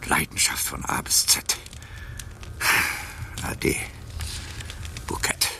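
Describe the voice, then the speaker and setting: An actor playing a bitter, proud old libertine in a literary radio drama, declaiming in a gravelly voice that moves between whispery asides and outcries. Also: male voice, extremely slow, background noise. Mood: agitated, defiant.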